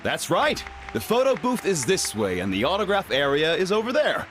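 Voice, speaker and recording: Young male festival guide, high lively voice, polite, helpful, friendly game dialogue. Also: normal speed, over loud music. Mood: eager. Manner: friendly, deferential.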